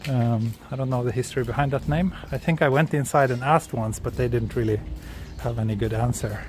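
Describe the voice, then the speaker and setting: A man from Sweden, speaking English as a second language, hosts a relaxed live walking stream outdoors and chats with viewers as he goes. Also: mid-range voice, fairly quick, slight background noise. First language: Swedish. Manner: relaxed, answers viewer chat while walking. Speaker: vlogger walking through a city. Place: outdoors on city streets.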